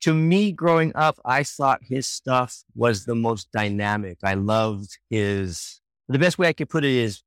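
A man's voice: medium pitch, a little slow, few pauses, clear, resonant tone, no echo, clean studio sound. A man in his forties from Hawaii, a children's book author and illustrator, talking easily as a guest in an interview and laughing now and then. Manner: relaxed, easygoing, laughs often, restarts sentences. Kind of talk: casual interview conversation.

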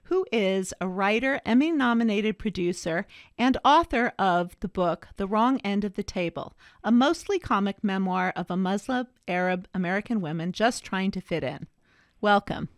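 A woman's voice: medium pitch, animated, moderate pace, no room sound, clean studio sound. A woman host introducing a guest and asking questions, rephrasing them as she goes. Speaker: interviewer host.

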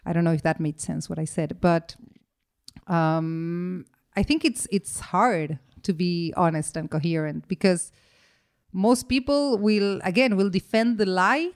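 A woman's voice: on the low side, a little slow. A woman who is a podcast guest, speaking earnestly and often restarting, with the phrase "to me" coming back again and again. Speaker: interview guest. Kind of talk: earnest conversational interview.

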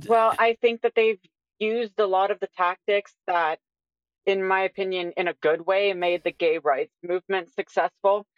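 A woman in her twenties explaining her view in casual discussion, measured and peppered with filler "like". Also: mid-range voice, steady tone, no echo. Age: 20s. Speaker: guest. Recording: dull lo-fi microphone sound.

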